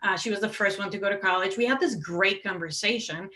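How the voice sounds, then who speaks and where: Bright-voiced woman panelist telling stories. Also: mid-range voice, brisk. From Puerto Rico. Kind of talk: panel discussion, personal anecdote and argument.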